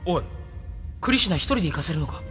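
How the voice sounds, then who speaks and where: An actor playing a plain-spoken, caring young rebel pilot in an anime audio drama. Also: male voice, fairly high pitch, lively intonation, quick pace, natural voice. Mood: concerned. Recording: reverberant, loud music under the voice.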